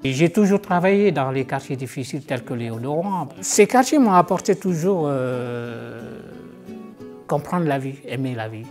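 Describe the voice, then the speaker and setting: A man from talks as a visual artist in a documentary interview. He is earnest and reflective, pausing to find his words. His voice sits at a middling pitch, with soft guitar music faint behind him. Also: animated. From Guinea-Bissau.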